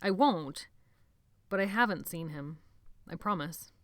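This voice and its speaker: A woman's voice: lowish voice, expressive, measured pace, dry recording. A woman reading an audiobook, voicing a guilt-ridden boy who pleads in a choked voice. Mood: guarded. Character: anguished, guilt-ridden boy near tears. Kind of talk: audiobook character voice.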